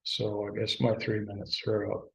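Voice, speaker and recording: A man leading a town board meeting, talking haltingly with many restarts. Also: on the low side, completely flat delivery, vocal fry. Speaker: presiding board trustee. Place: town hall meeting room. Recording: dull lo-fi meeting recording.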